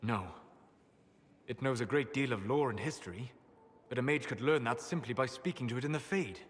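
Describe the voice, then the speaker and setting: An actor playing a grave, scholarly elf mage with a measured, formal manner. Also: male voice, mid-range voice, big-room sound, slight background noise, lightly acted. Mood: calm. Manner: measured, formal, bursts into anger.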